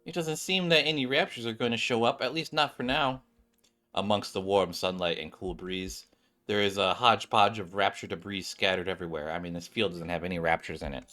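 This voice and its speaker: Bright-voiced man reading game dialogue. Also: low voice, fairly even intonation, fairly quick. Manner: stumbles over words and corrects himself. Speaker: gaming streamer.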